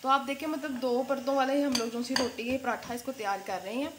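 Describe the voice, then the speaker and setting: A young woman with a slightly high voice hosts a home cooking vlog, talking viewers through each step in a relaxed, chatty way. She is recorded in a home kitchen, with clear cooking noise and sizzling behind her. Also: fast talker. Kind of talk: casual cooking vlog narration.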